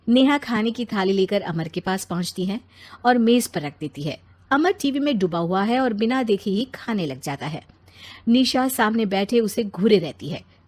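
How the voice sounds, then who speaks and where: A woman narrating a scripted children's moral story. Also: medium pitch.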